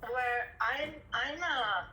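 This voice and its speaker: A woman from Israel, speaking on a chat show in halting bursts with many restarts. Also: a little high, pushed and bright, low-quality recording. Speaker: caller. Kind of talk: casual chat.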